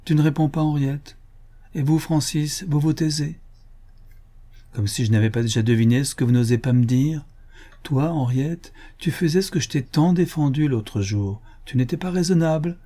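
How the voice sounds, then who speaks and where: A man reading the part of an indulgent grey-haired mother who gently scolds her children. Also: a little high, moderate pace, voice pushed up, close to an everyday voice. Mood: fond scolding. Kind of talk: audiobook character reading.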